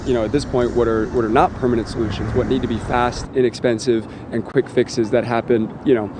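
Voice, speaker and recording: A man's voice: medium pitch, little pitch movement, brisk. A man giving an earnest interview outdoors beside a street, as a safety advocate. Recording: outdoor street with clear traffic noise.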